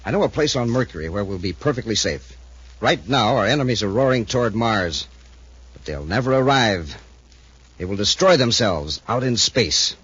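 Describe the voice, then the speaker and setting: An actor playing a coldly arrogant, super-intelligent villain in a 1950s radio adventure, measured and commanding. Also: male voice, lowish voice, expressive, a bit bright, slightly rough, dry recording. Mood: confident, ominous. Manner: measured, commanding, self-assured. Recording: muffled 1950s radio recording.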